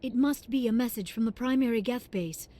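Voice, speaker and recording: A composed military teammate from a sci-fi video game, played by a woman. The voice sits fairly high and has a soft, dark-edged tone. The delivery is earnest, measured and businesslike. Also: close to an everyday voice. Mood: focused, determined. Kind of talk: scripted video game dialogue.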